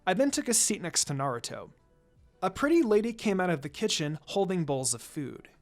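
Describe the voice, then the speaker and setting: Expressive storyteller with wide-swinging pitch. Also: brisk, faint music behind. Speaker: fan fiction reader. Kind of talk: dramatised story narration in first person.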